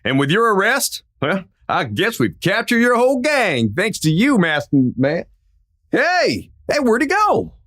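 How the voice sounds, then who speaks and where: A man playing a plainspoken small-town sheriff with a folksy, deliberate manner in a western radio drama. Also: sits high, wildly swooping pitch, a bit bright, heavily acted. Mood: firm. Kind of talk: old-time western radio acting.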